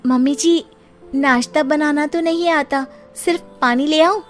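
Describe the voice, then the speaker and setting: Spoiled young bride voiced by a woman, high whiny voice, drawn-out words, cartoon story, soft music under. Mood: coy, whiny. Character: vain young bride, pampered and coy.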